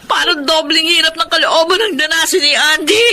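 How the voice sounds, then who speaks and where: A woman's voice: very high-pitched, fairly quick, sharp tone, exaggerated voice. A woman playing a despairing, abandoned young wife in a Filipino radio melodrama, with anguished, dramatic delivery. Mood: bitter despair.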